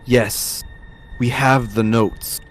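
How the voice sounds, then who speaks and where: A man's voice: mid-range voice, steady tone, very slow, soft background music. A man playing an earnest, loyal vampire operative in an amateur visual novel dub, plain-spoken in delivery. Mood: serious.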